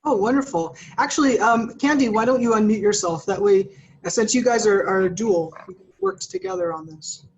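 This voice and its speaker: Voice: mid-range voice, lively intonation, moderate pace. A panel moderator hosting an online artist panel over a video call, keeping things moving with brief, upbeat interjections. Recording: slightly muffled call audio.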